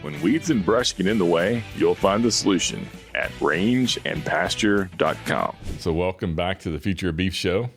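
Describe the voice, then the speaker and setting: A man hosts a podcast in a very low voice. He introduces the show and his guests and asks questions in a friendly, brisk way, with faint music playing underneath. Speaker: podcast host. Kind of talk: podcast hosting and interview.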